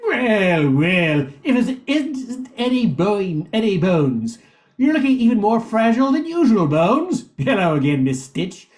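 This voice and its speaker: A man's voice: sits high, very animated, unhurried, a little mellow. A man playing a swaggering, sneering soldier and would-be ladies' man in an amateur radio play. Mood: smug, flirting. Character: swaggering, sneering male soldier jilted by his crush.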